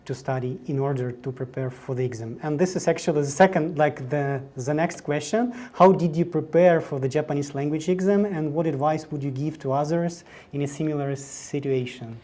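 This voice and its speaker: Interviewer w a mellow, dark-ish voice, asks questions kinda haltingly. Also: medium pitch. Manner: non-native English, some broken phrasing.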